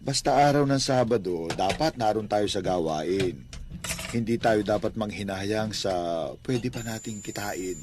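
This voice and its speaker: A man's voice: fairly low pitch, a little slow, lightly acted. An actor playing a devout, kindly Filipino father who runs a small store, earnest and fatherly, in a radio drama. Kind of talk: radio drama acting in Tagalog. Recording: noisy radio broadcast playback.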